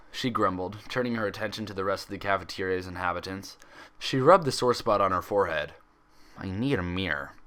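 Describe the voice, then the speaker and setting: A man reading a story aloud in an expressive, scripted narration style. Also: on the low side. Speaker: fan fiction reader.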